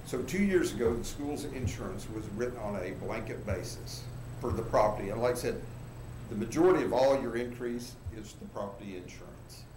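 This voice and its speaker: A man's voice: medium pitch, lively intonation, a little fast, darkish tone. A man presenting as an insurance agent at a public meeting, explaining plainly step by step. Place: large indoor board room, standing before the board. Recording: echoey noisy hall, room microphone.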